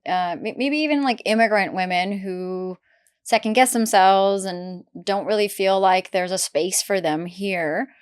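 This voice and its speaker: A woman's voice: mid-range voice, clean tone. A woman hosting a podcast interview, affirming and supportive toward her guest. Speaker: podcast host. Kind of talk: casual interview talk.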